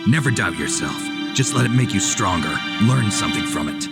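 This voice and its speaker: A man playing a tough, stoic soldier hero in a video game, gruff, blunt and terse. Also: fairly low pitch, expressive, fairly quick. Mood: stern. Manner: terse, clipped. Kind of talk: dramatic video game voice acting. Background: loud music.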